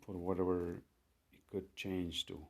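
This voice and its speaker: Slow, low-voiced man walking through phone steps. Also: completely flat delivery, creaky. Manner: step-by-step, unhurried, plain instructions with fillers. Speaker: tech tutorial host. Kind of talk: screen-recorded phone tutorial.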